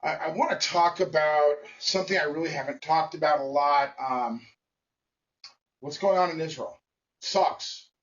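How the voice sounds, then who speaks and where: A man giving a blunt, emphatic opinion monologue to camera, speaking in short phrases with pauses. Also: a little high, a bit bright, far from the mic, muffled recording. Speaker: vlogger.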